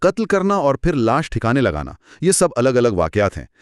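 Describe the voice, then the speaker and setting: A man's voice: medium pitch, huge pitch swings, normal speed, no echo, studio quality. A man co-hosting an educational discussion, explaining things conversationally and with emphasis. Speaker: co-host of an educational law discussion. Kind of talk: conversational explainer, podcast-style dialogue.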